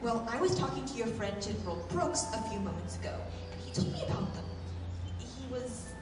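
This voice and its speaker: A woman's voice: fairly high pitch, big pitch swings, brisk, very noisy. Woman acting a demure princess, echoey hall. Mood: coolly demure. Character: proud, tender young jungle princess in love. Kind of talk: 1930s radio adventure acting. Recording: hall echo, distant mic, loud music.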